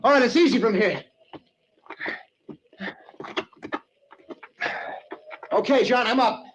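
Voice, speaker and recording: A man's voice: extremely high pitch, quick pace. An actor playing an earnest, stubborn young mountaineer in an old-time radio drama, dramatically telling of his climb. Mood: urgent, straining. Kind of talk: old-time radio drama acting and first-person narration. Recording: dull, noisy old radio recording.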